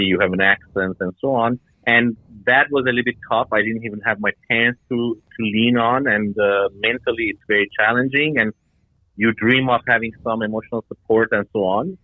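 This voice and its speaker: Phone-like low-voiced middle-aged man. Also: no echo. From Iran and Denmark. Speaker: guest, startup founder. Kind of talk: explanatory interview answers. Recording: tinny phone-like line.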